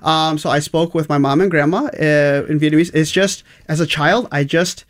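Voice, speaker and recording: Young adult man guest, higher-pitched, chatty learner story. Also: normal speed. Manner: upbeat, with many fillers. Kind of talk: casual personal story.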